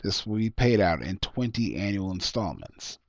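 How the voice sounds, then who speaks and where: Man as a formal, low-voiced lottery official. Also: very animated, normal speed, creaky, darkish tone, dry recording, full character voice. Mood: businesslike. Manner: formal, procedural. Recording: dull lo-fi close recording.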